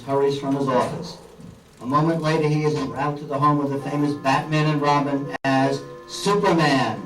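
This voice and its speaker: Higher-pitched male radio announcer, echoey. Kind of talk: scripted radio drama narration. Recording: distant, echoey room.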